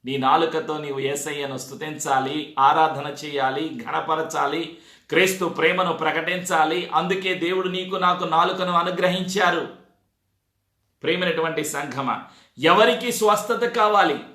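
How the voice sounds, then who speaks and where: A man's voice: fairly high pitch, normal speed, bright, forceful tone. A man preaching a sermon, exhorting his congregation with repeated phrases and rhetorical questions. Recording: echoey, reverberant sound.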